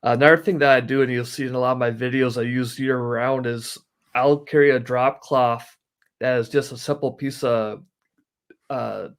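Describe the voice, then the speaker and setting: A man's voice: medium pitch, clear, resonant tone. A man giving casual, practical explanations as a guest, in a matter-of-fact, step-by-step way. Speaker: guest, hobbyist sharing practical tips.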